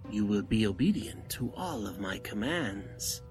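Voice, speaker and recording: A man as a slow, theatrical sinister cult leader. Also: on the low side, lively intonation, a little mellow, faint music behind. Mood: hypnotic, menacing. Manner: formal, theatrical, commanding or pleading. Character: sinister, crooked male cult leader and fake medium. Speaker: actor in a radio drama. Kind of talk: 1940s radio drama acting.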